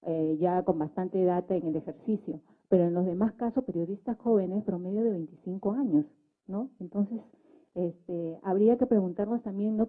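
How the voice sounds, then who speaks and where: A woman with a Peruvian accent speaking at a public debate, explaining methodically and often adding tag questions. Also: medium pitch. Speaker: government social communicator, panel speaker. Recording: thin phone-like sound.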